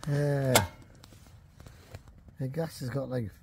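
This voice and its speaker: A man's voice: mid-range voice, fairly even intonation, takes it slowly, creaky, slight background noise. A man with a Teesside accent chatting casually and colloquially as he vlogs outdoors. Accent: Teesside English. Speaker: angler vlogger. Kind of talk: casual vlog chat. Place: outdoors on a riverbank at night. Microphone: camera or phone mic.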